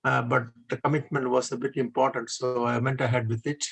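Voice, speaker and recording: A man in his seventies from India, a native Hindi speaker, answering interview questions as a retired engineer in a deliberate way with frequent hesitations. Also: mid-range voice, level tone, gravelly, a bit bright, breathy edge. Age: 70s. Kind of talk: measured conversational interview answers.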